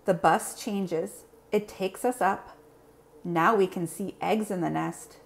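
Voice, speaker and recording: A woman reading a children's picture book aloud in an expressive, simple storytelling manner. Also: lowish voice, slow. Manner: clear, simple, expressive delivery for young children.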